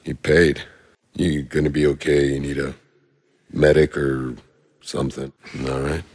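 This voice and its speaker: Game mercenary: extremely low, husky male voice, world-weary and sardonic, heard with long reverb. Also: level tone, measured pace, darkish tone, heavily acted. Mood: gruff, casual. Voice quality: gravelly, husky. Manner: sardonic, gruff, laconic. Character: weary, cynical, foul-mouthed male sci-fi soldier mercenary. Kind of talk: video game voice acting.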